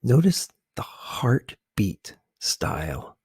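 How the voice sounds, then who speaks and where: A man hosting a conversational ASMR commentary in a hushed, husky voice, halting as he searches for words. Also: mid-range voice, sing-song, no echo. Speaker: video host.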